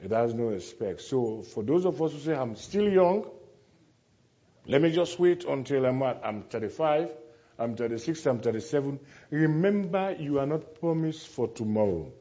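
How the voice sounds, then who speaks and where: A man's voice: medium pitch, expressive, normal speed, warm tone. Emphatic male preacher, dull lo-fi recording. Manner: emphatic, repeats phrases, rhetorical questions to listeners. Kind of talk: sermon to a congregation.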